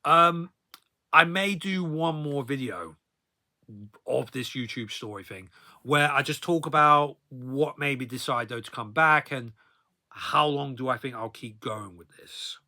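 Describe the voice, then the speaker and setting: A man's voice: mid-range voice, a little slow, bright, forceful tone. A man in his thirties telling personal stories in a casual, rambling vlog style, often tacking filler phrases onto the ends of his sentences. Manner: rambling, repeatedly tagging sentences with "though, really, as well". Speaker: video blogger.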